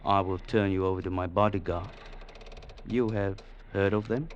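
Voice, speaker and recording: An actor playing a proud, ruthless Chinese warlord general in an old radio drama, speaking in terse, formal, commanding phrases. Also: male voice, sits low, steady tone, normal speed, dull audio, slight background noise, lightly acted. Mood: cold, menacing. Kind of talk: 1940s-50s radio drama acting.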